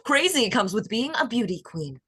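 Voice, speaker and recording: Casting: menacing, husky beauty queen out for revenge played by a woman, wide swinging intonation, thriller read. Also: on the high side, sing-song, normal speed, a bit bright, full character voice. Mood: coldly threatening. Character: vengeful, menacing beauty queen interrogating her captive. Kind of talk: screenplay table read acting.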